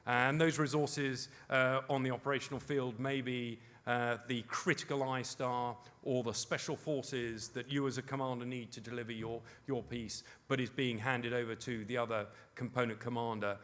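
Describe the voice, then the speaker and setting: A middle-aged man speaking on a conference panel in a measured, deliberate way. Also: medium pitch, flat delivery, a bit bright. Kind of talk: conference presentation. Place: conference hall.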